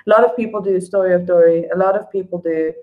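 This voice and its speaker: A woman's voice: on the low side, fairly even intonation, quick pace, narrow, tinny audio. A South African woman hosting a casual livestream and answering her viewers' comments. She talks in a rambling, conversational way, with fillers and restarts, and calls viewers by name. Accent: South African English. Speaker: livestream host reading and answering viewer chat. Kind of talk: casual unscripted livestream chat.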